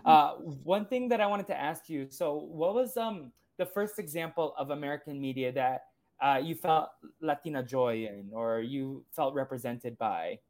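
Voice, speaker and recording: A man's voice: fairly high pitch, moderate pace, a little mellow. A man hosting a podcast interview, talking casually and eagerly with many filler words. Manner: casual, frequent "like" fillers, enthusiastic. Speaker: podcast host.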